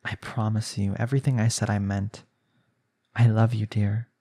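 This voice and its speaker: A man's voice: on the low side, flat delivery, normal speed, mellow. A young man playing a jealous, lovestruck husband in an intimate romantic audio roleplay, speaking in an earnest, pleading way. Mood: relieved, tender. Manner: earnest, pleading, sighing delivery.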